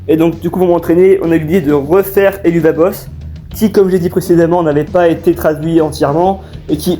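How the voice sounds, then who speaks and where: A young man giving an informal, halting explanation as a fan dub creator, answering questions. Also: a little high, mellow. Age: young adult. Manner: hesitant, halting delivery. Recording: faint music behind.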